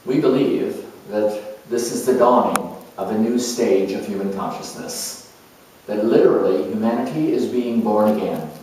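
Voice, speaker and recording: A man playing an earnest, nurturing parent turned spiritual counselor in a dramatic monologue, speaking in halting, reflective phrases. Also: mid-range voice, a little mellow, lightly acted. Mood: serene, devout. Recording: very echoey room, distant microphone.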